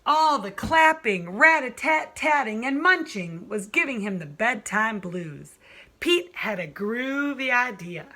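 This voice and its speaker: A woman teacher reading a children's storybook aloud in a deliberate, sing-song way for young listeners. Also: fairly high pitch, animated, sharp tone.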